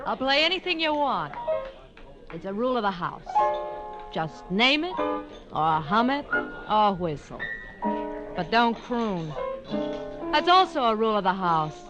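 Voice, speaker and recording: An actress playing a languid, teasing nightclub pianist in an old radio crime drama. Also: female voice, mid-range voice, sing-song, a little slow, pauses often. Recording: old radio drama with loud piano music.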